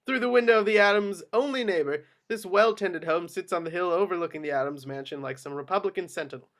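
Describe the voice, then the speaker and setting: Male stage-directions narrator, fairly high voice, scripted screenplay read. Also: fast, fairly bright tone.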